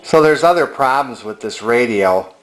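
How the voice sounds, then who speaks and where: An older man giving a casual repair demonstration as a hobbyist, halting as he thinks aloud. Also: medium pitch, lively intonation, poor audio. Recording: background music from vintage radio and turntable.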